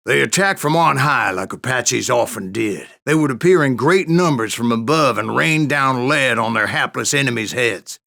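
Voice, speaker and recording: A grizzled old gunslinger narrating a western game. His mid-pitched male voice is rough and crackling with gravel, carried by an American Western drawl. He spins his boastful tales in a rambling, folksy and theatrical way. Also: fairly bright tone, heavily acted. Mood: wry, rambling. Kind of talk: dramatic first-person storytelling narration for a western game.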